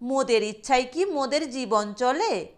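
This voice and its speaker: A woman's voice: sits high, lively intonation, unhurried. A woman voicing a plaintive villager speaking in rustic dialect for an audio story. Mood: plaintive, wistful.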